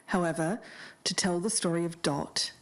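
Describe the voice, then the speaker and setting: An Australian woman playing an intense, deliberate podcast investigator in a scripted audio drama. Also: lowish voice, lightly acted. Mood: measured, serious. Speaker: podcast co-host character. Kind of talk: scripted audio fiction narration.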